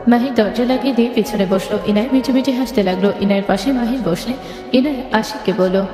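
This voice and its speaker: A woman's voice: fairly high pitch, fast. A woman narrating a scripted story in the third person. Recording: echoey long reverb, music bed.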